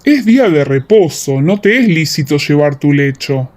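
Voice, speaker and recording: Female performer as severe male religious authority, lowered pitch, accusatory, radio drama. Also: animated, normal speed, darkish tone. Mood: stern. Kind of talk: audiobook radio drama acting.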